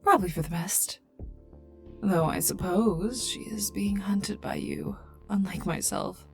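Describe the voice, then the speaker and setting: A woman playing a cold, sardonic, menacing female scientist in an ASMR roleplay, taunting the listener in breathy half-whispers. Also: medium pitch, measured pace. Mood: curious, needling. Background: calm ambient music.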